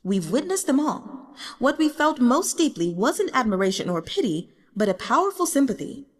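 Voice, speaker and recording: A woman's voice: on the high side, very animated, normal speed, long reverb tail, voice pushed up. A woman voicing a self-mocking, burdened young emperor in an audiobook, formal and solemn, with regal phrasing. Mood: bitter, solemn. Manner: formal, solemn, speaks in the royal we. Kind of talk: audiobook character dialogue.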